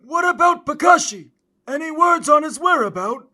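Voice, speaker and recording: A man voicing an excitable, commanding spider king in a cartoon, with a raspy, urgent delivery. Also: extremely high pitch, moderate pace, exaggerated voice. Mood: anxious. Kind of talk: animated drama voice acting.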